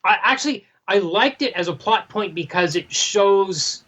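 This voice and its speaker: A podcast co-host chatting casually about comics, thinking aloud in an animated way. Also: mid-range voice, measured pace, muffled recording. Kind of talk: casual comic book discussion.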